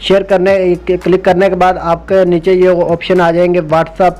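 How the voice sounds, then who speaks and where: High, even-toned man giving app tutorial. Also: a little slow. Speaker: tech tutorial host. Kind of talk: informal step-by-step app tutorial. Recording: music playing behind the voice. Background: music.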